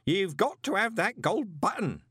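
A man playing an anxious, superstitious old junior postman who mutters and rambles in rough colloquial speech. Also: sits high, very animated, a little slow, fairly bright tone, no room sound, studio quality, voice pushed up, full character voice. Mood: aggrieved, anxious.

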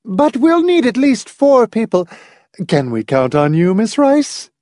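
A man's voice: very high-pitched, wildly swooping pitch, a little slow, darkish tone, no room sound, clean studio sound, voice pushed up, full character voice. A man voicing a bossy, cheerful old lady hostess, gushing and emphatic, for an audiobook.

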